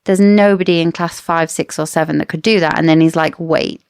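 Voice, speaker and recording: Woman, lowish pitch, noticeable vocal fry, close dry recording, opinionated podcast co-host, casual book chat. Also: fairly even intonation, brisk, darkish tone, dry recording.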